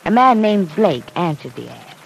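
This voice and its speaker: A woman's voice: lowish voice, big pitch swings, normal speed, gravelly, dull audio, slight background noise. An actress playing a kind, well-off young woman in a 1940s radio drama, explaining things in an earnest way.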